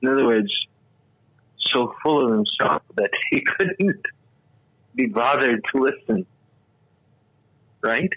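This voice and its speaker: A man's voice: medium pitch, sing-song, slow pace, vocal fry, long pauses, breathy edge, no room sound. Rambling older man on dull radio. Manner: rambling, many fillers and restarts. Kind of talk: casual radio conversation.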